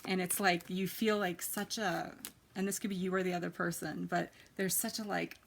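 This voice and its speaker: A woman in her thirties with a quiet, soft voice, chatting casually as she gives a tarot reading. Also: on the low side, flat delivery, normal speed, creaky, slight background noise. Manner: chatty, lots of ums and likes. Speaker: tarot reader.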